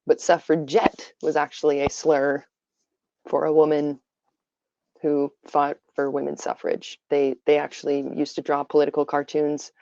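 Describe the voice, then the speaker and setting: A tired woman with a raspy voice, giving her opinions on a casual livestream full of fillers. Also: sits low, monotone, moderate pace, warm tone, dry recording. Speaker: livestream host. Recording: dull lo-fi stream audio.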